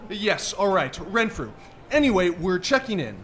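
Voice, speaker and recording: A man's voice: high-pitched, big pitch swings, normal speed, a bit bright, heavily acted. A man playing an affable, unflappable husband and hotel guest in a live radio comedy, polite and easygoing. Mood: reassuring. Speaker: actor. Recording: live stage recording, background noise.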